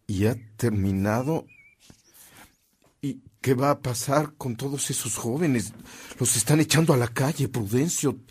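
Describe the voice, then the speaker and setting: Slow, solemn Mexican priest. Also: male voice, medium pitch, darkish tone, husky. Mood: concerned, steadfast. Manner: solemn, deliberate, heartfelt. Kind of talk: radio drama acting.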